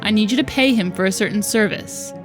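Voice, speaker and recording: An imperious older stepmother from a visual novel, acted out by a woman, scornful and impatient. She scolds and commands in a haughty way at a medium female pitch, with music playing behind her. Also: fairly quick, close to an everyday voice. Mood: scolding. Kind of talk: reading visual novel dialogue aloud.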